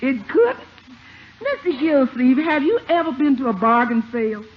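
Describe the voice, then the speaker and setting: An actress playing a sassy, skeptical housekeeper in a 1950s radio comedy, folksy, teasing and emphatic. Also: female voice, high voice, big pitch swings, normal speed. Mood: teasing warning. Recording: muffled 1950s radio, faint noise.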